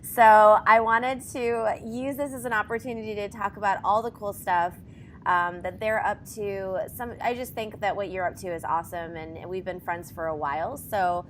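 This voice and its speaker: A woman's voice: steady tone, moderate pace. A woman hosting a casual interview, talking in an informal, enthusiastic and chatty way. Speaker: interviewer, host. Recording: faint background noise and rumble.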